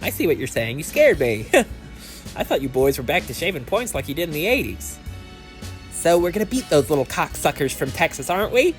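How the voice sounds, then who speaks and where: Man as stammering, excitable tipsy sports fan. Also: fairly high pitch, brisk, faint music behind, pitched above the performer's own voice. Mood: eager, anxious. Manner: stammering, hesitant, incredulous. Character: tipsy, nervous, eager male basketball fan in the nineties.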